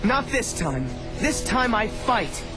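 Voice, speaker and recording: A man's voice: high voice, very animated, slow pace, music in the background, exaggerated voice. An actor playing an earnest, brave young male dragon in a video game cutscene. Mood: defiant.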